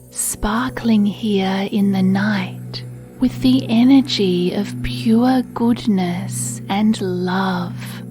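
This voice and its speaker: A woman gently narrating a children's sleep meditation story in a soothing, hushed, breathy voice. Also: medium pitch. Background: soft music.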